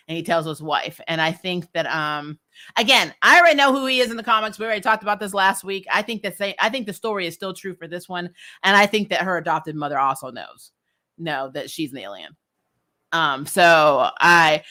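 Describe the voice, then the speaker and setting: Casual female recap host, very bright forward voice, animated and chatty delivery. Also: lowish voice. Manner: animated, laughs often while talking. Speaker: host of a TV show recap series. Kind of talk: casual, chatty episode review.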